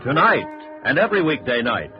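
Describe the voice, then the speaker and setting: A man's voice: mid-range voice, very animated, brisk. An American man announcing a radio drama in a scripted 1950s style. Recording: dull vintage radio recording, music behind.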